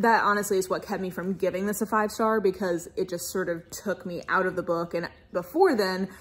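An American woman in her thirties giving a casual, chatty book review vlog with expressive, emphatic asides. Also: mid-range voice, husky. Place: furnished room at home, indoors.

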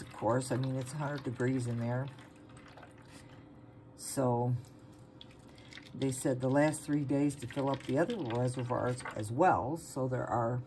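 Low, unhurried woman narrating casually. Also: warm tone. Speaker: farm vlogger. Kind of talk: casual home-video narration. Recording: noisy home phone recording.

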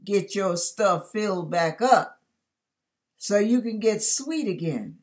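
A woman's voice: medium pitch, animated, slow pace. An older woman giving an informal devotional talk to camera, conversational and emphatic, often asking rhetorical questions. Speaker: online ministry host. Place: furnished room at home.

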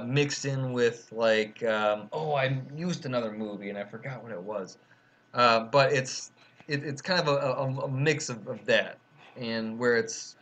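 A man with a bright, forward voice talks through a film in loose podcast chat, stopping and restarting his sentences. He leans on fillers and drops a casual swear word now and then. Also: mid-range voice. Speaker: podcast co-host. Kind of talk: casual movie review chat.

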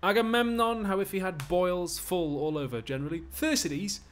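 A man's voice: mid-range voice, fairly quick, natural voice. A man playing a bitter, railing male slave in a stage play, sneering and ranting out insults. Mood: mocking.

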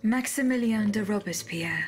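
A woman voicing a dutiful female automaton in a video game, speaking in a measured, formal, restrained way. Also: mid-range voice, close to an everyday voice. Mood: calm, resolute. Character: female clockwork automaton, poised, dutiful servant of the Queen. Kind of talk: video game cutscene acting.

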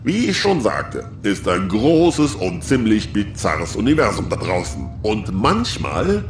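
An actor playing a grumbling, fatherly older spaceship crewman, wry and weary, with sighs and drawn-out interjections. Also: on the low side, wildly swooping pitch. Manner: groaning, sighing, drawn-out interjections. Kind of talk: audio drama acting. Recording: roomy, loud music behind.

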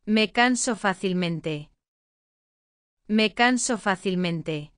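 A woman's voice: mid-range voice, lively intonation, extremely slow, a bit bright, clean tone, no echo. A woman reading short phrases aloud twice each for a language lesson, with careful enunciation into a close mic. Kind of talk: slow repeated phrase reading.

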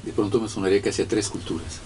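Un hombre conductor de radio que hace preguntas de forma conversacional a sus invitados. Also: male voice, lowish voice, fairly even intonation, very fast, a little mellow, slight background noise. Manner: conversational, searching questions. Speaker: radio co-host. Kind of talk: radio interview questions. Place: small radio studio. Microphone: desk studio mic.

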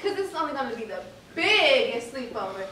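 Woman acting a lively high-voiced sassy teenage daughter. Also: brisk, exaggerated voice. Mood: excited. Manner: chatty, sassy. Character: chatty, sassy teenage daughter eager for girls' night. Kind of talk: urban stage play acting. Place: on stage in a theatre, far camera. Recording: echoey noisy theatre, far from microphone.

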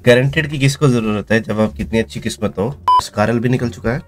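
A man's voice: medium pitch, fairly quick, very noisy. A man hosting a gaming video in casual, slangy, exclaiming reaction commentary. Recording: loud music behind the voice. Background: loud music.

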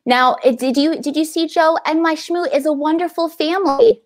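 A woman's voice: high voice, moderate pace. A woman in her thirties from New York City, chatty and effusive, hosting a casual interview over a video call and reading out audience questions. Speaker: library event host, interviewer.

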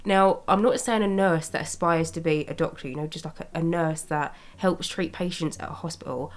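A young woman with a slightly raspy voice from a cold, chatting casually as she narrates her video-game building. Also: sits low, brisk. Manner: chatty, rambling, with small laughs. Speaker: Sims speed-build YouTuber. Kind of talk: casual commentary over gameplay. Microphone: voice-over recorded separately from gameplay.

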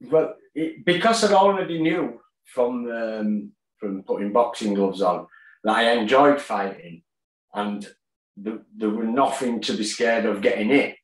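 A man, telling his story plainly in a video-call interview, with fillers and pauses. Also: mid-range voice. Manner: plain-spoken, halting with fillers. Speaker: guest, boxer and gym owner. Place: kitchen at home, indoors. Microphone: tablet on a video call. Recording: echoey room.

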